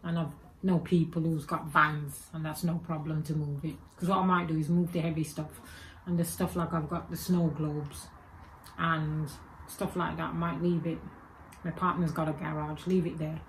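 A woman's voice: sits low, level tone, moderate pace, slight background noise, low-quality recording. A woman in her forties with a Birmingham accent carrying Jamaican and Egyptian traces, chatting to camera at home in a rambling, self-correcting way. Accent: Birmingham English with Jamaican and Egyptian traces. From England. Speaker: vlogger. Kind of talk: casual chat to camera. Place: home room with spooky shelves, indoors. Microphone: webcam or phone camera at desk.

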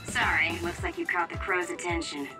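Low-pitched, laid-back female soldier played by a woman. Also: fairly even intonation, moderate pace, music in the background, close to an everyday voice. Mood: easygoing. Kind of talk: anime game voice acting.

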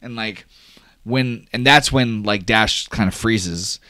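Deep-voiced man narrating a game. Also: takes it slowly, sharp tone, no echo, clean studio sound. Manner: loose, rambling, with frequent fillers and cursing. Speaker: game master. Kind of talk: casual improvised narration of a tabletop game.

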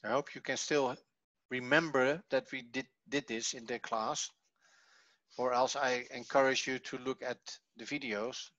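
A man teaches an online class at a slow, deliberate pace. His voice is bright and forward, with a dry, close sound. He explains step by step, with halting pauses. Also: mid-range voice, steady tone, no room sound, dull audio. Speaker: Hebrew teacher. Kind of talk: online lesson, reading and explaining a textbook. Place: online video call.

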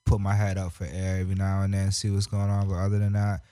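A young man from Japan chats on a radio show in a deep, mellow voice, drawing out his words in relaxed slang. His voice sits close and dry on a studio boom mic. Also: no room sound. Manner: laid-back, slangy, drawn-out phrases. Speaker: rapper and artist guest. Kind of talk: casual interview chat. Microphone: studio mic on boom arm.

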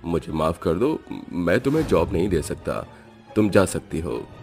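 Man playing a low-voiced, dismissive company boss. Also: big pitch swings, normal speed, darkish tone, soft background music. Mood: firm refusal. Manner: curt, refusing. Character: dismissive male company boss, firm, then frightened. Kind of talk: cartoon dialogue acting.

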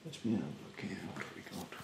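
Soft, very creaky-voiced haughty young man. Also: sits low, big pitch swings, fast talker, reverberant, heavy background noise. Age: 20s. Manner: soft-spoken, breathy, often near a whisper; haughty, condescending, politely insulting. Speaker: ASMR roleplayer playing a rude beautician. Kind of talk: ASMR roleplay. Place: small bedroom, indoors.

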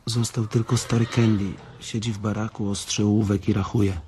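A man playing a gentle, slow-witted, childlike farm hand in a Polish radio drama, with a naive, simple manner. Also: fairly low pitch, flat delivery, a little hiss or hum, natural voice. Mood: innocent, eager.

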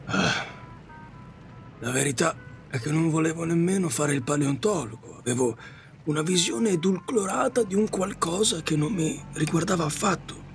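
Casting: male actor as weary cabbie, regretful dreamer, polite and hesitant, slightly high voice, audio drama with music behind. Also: normal speed, vocal fry. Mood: resigned, reflective. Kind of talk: Italian audio drama acting.

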